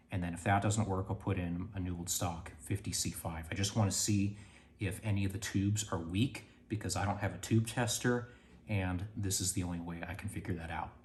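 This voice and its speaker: A man's voice: fairly low pitch, darkish tone, a little hiss or hum. A man hosting a hands-on radio repair tutorial, plainly explaining each step while he works in a garage workshop. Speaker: radio restoration host.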